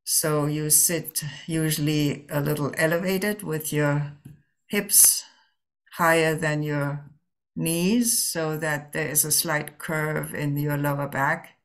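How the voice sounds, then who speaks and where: A woman leading a guided meditation as a Zen teacher, speaking gently and permissively in halting phrases with long pauses. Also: low voice, fairly even intonation.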